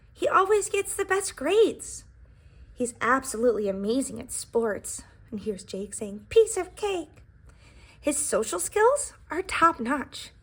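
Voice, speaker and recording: A woman's voice: high-pitched, slightly rough. A woman teacher reading a picture book aloud to young children, expressively, with explanatory asides addressed straight to her listeners.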